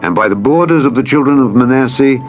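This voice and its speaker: A man narrating an audio Bible, reading solemnly in a measured, formal, declamatory way. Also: medium pitch, lively intonation, highs cut off. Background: background music.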